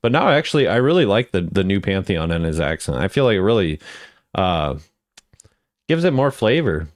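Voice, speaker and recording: A man's voice: low-pitched, sing-song, moderate pace, dry recording, studio quality. A man giving casual chatty commentary as a video creator, often repeating phrases for emphasis. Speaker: video creator ranking game voice lines.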